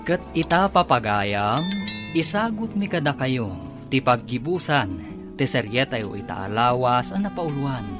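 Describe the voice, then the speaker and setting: Male Filipino announcer for a radio serial, animated dramatic delivery over a clear music bed. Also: mid-range voice, a little slow.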